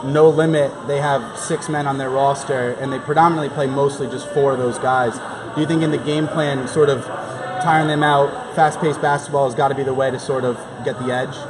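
A man's voice: medium pitch, steady tone, fairly quick. A young man hosting a postgame basketball show, talking in an interview and commentary style. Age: young adult. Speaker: sports show host. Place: large indoor basketball gym. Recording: gym ambience with background crowd noise.

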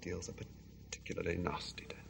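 An actor playing a scheming villain in an audio drama. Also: male voice, sits low, flat delivery, fairly quick, creaky. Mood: weary, dying despair. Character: scheming villain, faking a weak, dying addict's despair.

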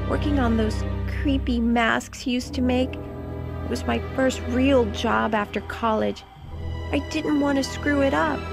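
A timid, self-blaming young woman with a high, little-girl voice. She speaks slowly in dramatic game cutscene narration, over loud music. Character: timid, childlike, guilt-ridden young woman locked in doll mask.

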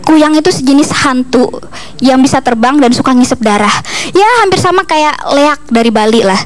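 High-pitched young woman doing stand-up. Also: moderate pace. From East Kalimantan, Indonesia. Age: young adult. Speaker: stand-up comedian. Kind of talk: stand-up comedy routine. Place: TV comedy studio stage with audience. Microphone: handheld microphone. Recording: audience ambience noise behind stage mic.

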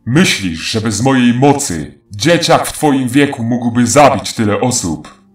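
A man's voice: medium pitch, expressive, a little slow, close to an everyday voice. A man playing a taunting, menacing ancient faceless demon in a horror audio drama. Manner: mocking, menacing, theatrical. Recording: echoing voice over faint music.

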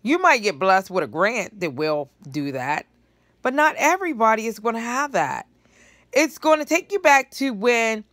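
A middle-aged woman talks straight into a phone held close on her couch, her voice dry and near. Her tone is relaxed and conversational, and she works through the numbers out loud as she goes. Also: medium pitch, dry recording. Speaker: vlogger commenting on news clips. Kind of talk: casual commentary to camera. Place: living room couch indoors. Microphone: phone held close at low angle.

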